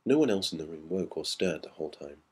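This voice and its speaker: A man reading a classic ghost story aloud in a measured, dramatic storytelling manner. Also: sits low, expressive, darkish tone, slightly rough. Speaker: audiobook narrator.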